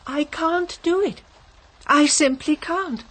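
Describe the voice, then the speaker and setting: An actress playing a timid, nervous spinster companion with a British accent in a radio mystery drama. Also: female voice, high-pitched, slow pace, fairly bright tone. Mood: frightened, fretful.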